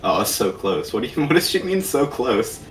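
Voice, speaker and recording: A man's voice: mid-range voice, sing-song, a little fast. A man bantering casually over gameplay in a dry, sarcastic way. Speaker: gamer co-playing on a shared controller. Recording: distant mic, faint game music. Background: faint video game music and sounds.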